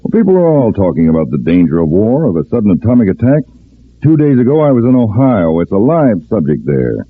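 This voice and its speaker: Deep-voiced, shrewd counterspy chief. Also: male voice, wildly swooping pitch, a little fast. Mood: musing, suspicious. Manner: musing, clipped, decisive. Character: shrewd, authoritative male counterspy agency chief. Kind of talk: 1940s-50s radio drama acting. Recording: tinny, band-limited old radio recording.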